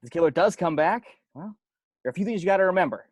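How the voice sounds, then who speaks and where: A man's voice: high voice, lively intonation, rapid-fire, no room sound. A man playing a dead young film geek who explains horror rules on a homemade video, emphatic and stop-and-start, in an amateur table read. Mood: earnest, ominous.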